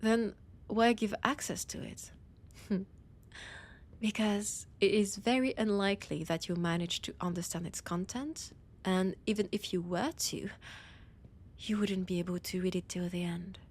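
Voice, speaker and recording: Casting: actress as female vampire scholar, French accent, unhurried and husky, condescending menace with teasing whispers, audio drama roleplay. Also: medium pitch, fairly even intonation. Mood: darkly amused, menacing. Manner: slow, teasing, condescending, often dropping to a whisper. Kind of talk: scripted audio roleplay addressed to the listener.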